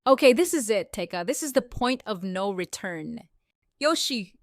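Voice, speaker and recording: A woman voicing a brash, sharp-tongued mother in a comic dub, blunt and sardonic. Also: mid-range voice, lively intonation, moderate pace, no room sound, clean studio sound, natural voice. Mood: nervous.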